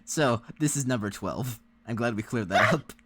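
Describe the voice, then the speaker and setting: A man's voice: mid-range voice, normal speed, a bit bright, slight background noise. A man co-hosting a reaction video, chatting casually in an agreeable, hesitant, easygoing way.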